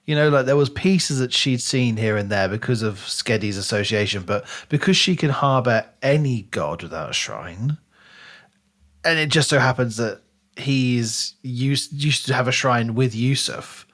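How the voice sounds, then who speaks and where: A man's voice: medium pitch, a little slow, fairly bright tone. A man co-hosting a casual book discussion podcast, speaking in an emphatic, analytical way. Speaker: podcast host.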